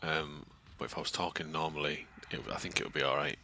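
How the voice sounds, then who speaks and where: A British man with a deep voice, chatting casually and keeping it subdued as he streams a game playthrough. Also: level tone, fast, bright, forceful tone, slight background noise. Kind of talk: casual live playthrough chat. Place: home, next to a bedroom.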